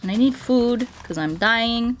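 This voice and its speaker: A woman's voice: a little high, lively intonation, a little slow, faint music behind. A woman livestreamer in casual, playful, rambling chat, answering her viewers aloud as she plays a game.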